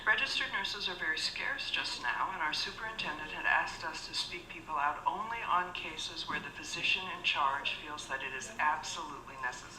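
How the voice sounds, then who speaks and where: A woman playing a brisk, formal and polite nurses' registry clerk in a radio suspense play. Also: low-pitched, animated, pushed and bright, heavily acted. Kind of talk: radio suspense drama acting. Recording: roomy echo, faint background noise.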